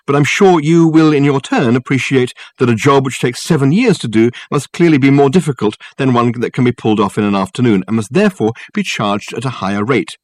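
A man's voice: mid-range voice, dry recording, close to an everyday voice. A man voicing a grandiloquent, unflappable male detective who talks soothingly and floridly. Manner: florid, soothing, mock-sorrowful.

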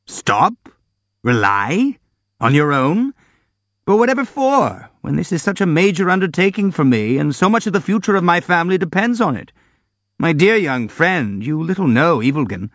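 Blustering elderly general, grand and self-pitying, raised pitch, dry and muffled recording; male reader voicing. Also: very animated, normal speed, a bit bright, no echo, heavily acted. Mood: pompous. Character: elderly blustering boastful army general, pompous.

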